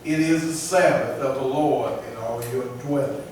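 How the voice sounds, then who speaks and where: A man reading scripture aloud in measured, declamatory phrases at a church lesson. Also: mid-range voice, darkish tone. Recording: very echoey room, distant mic, faint noise.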